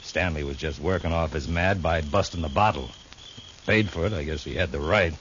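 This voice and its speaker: An American actor playing a surly cowhand henchman in a 1950s radio western, talking in a gruff, grudging, folksy way. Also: a bass voice, moderate pace, fairly bright tone. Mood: grudging, confessing. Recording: dull, noisy old radio recording.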